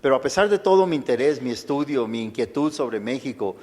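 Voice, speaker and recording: A man's voice: medium pitch, lively intonation, a little fast, darkish tone. Older man, Chicano accent, lecturing, thoughtful, frequent restarts, long hall reverb. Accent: Chicano Spanish. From United States. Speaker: guest lecturer, historian. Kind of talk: lecture answering audience questions.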